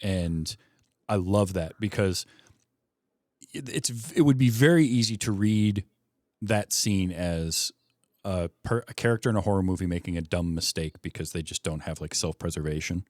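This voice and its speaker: A man's voice: deep voice, normal speed, darkish tone, dry recording, studio quality. A man hosting a podcast, discussing a film in a casual, conversational way. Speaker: podcast host.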